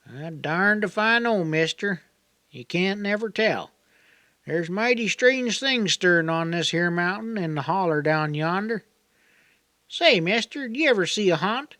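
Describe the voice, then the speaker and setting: A man's voice: sits high, lively intonation, unhurried, bright, forceful tone, no echo, voice pushed up, full character voice. A man voicing a talkative, naive young backwoods native in an audiobook, with a rural Southern accent and a folksy, rambling drawl. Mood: excited, spooked. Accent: rural Southern hillbilly. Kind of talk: audiobook character dialogue.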